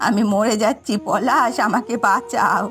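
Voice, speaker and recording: A woman's voice: mid-range voice, moderate pace, fairly bright tone, faint music behind, close to an everyday voice. A woman dubbing a frail, plaintive old village granny in a melodramatic cartoon. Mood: suffering, pleading.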